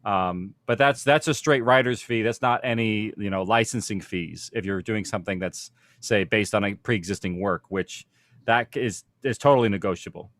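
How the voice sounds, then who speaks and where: A man's voice: fairly low pitch, normal speed, a bit bright, no room sound. Man, dry close sound, informal. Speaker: audio fiction panelist. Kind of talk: informal explanatory panel talk.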